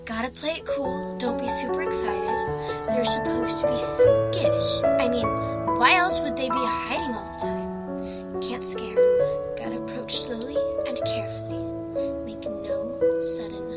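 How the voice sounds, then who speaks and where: A woman voicing an emotional, excitable young female pony in a dramatic reading of a fan fiction story. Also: on the high side, measured pace, loud music behind the voice, close to an everyday voice. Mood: excited, nervous.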